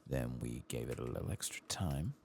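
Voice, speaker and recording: A man doing soft-spoken, partly whispered ASMR game commentary, murmuring to himself in an understated way. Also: very deep voice, expressive, moderate pace, a little hiss or hum.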